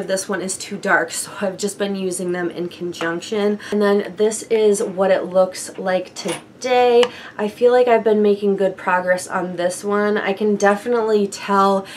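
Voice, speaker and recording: A young woman with a froggy, hoarse voice from a chest cold, chatting casually through a beauty product update with light laughs and fillers. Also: mid-range voice, steady tone, moderate pace, hardly any pauses, slight background noise. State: hoarse from bronchitis. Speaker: beauty vlogger.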